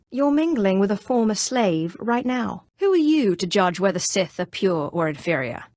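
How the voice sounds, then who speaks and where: A woman's voice: medium pitch, no room sound, studio quality, close to an everyday voice. A woman acting a haughty, sardonic young Sith sorceress in a video game, with a cool, imperious and dryly mocking delivery. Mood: scornful, defiant. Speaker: video game player character. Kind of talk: scripted video game dialogue.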